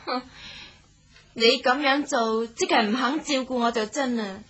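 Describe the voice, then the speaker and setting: A young martial maiden in a radio drama. Her voice is high, sharp and a little husky, with a playful, coy confidence. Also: measured pace, highs cut off. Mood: teasing. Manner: coy, playful, confident, sentence-final lilting particles. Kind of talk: Cantonese radio drama acting.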